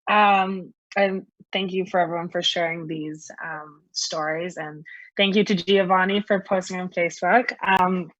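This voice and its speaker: A woman from Canada speaks politely and earnestly about her own experience on a video call. Her voice is slightly low and the sound is dry. The audio is a little dull. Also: fairly even intonation, unhurried, a bit bright, dry recording. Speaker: discussion participant. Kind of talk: earnest personal sharing.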